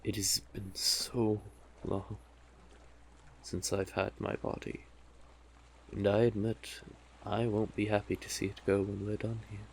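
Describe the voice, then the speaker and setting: A man acts out a male sea witch from an audio roleplay, a cursed tentacled merman with a lowish voice. He speaks very slowly in stately, elaborate phrases, coaxing the listener with doting command. Ambient noise sits clearly behind him. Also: flat delivery, low-quality recording. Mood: wistful, vain. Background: ambient sound effects.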